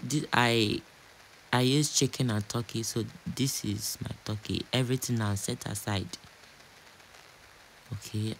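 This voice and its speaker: A Nigerian-accented man talks through home cooking in a casual, chatty way, often repeating his phrases. His voice sits at an average pitch, with noticeable rain-like noise behind it. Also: low-quality recording. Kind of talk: casual cooking vlog narration.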